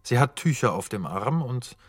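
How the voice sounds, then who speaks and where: A man narrating the scene directions of a radio drama in a measured, factual, neutral manner. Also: mid-range voice, sing-song, no echo. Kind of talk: scripted narration of stage directions.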